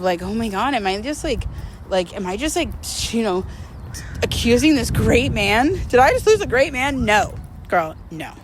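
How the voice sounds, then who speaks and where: A woman's voice: mid-range voice, fairly bright tone, a little hiss or hum. A woman talking casually and confessionally to her viewers, in a candid, rambling, emphatic way with slangy wording. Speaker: host of a personal vlog series.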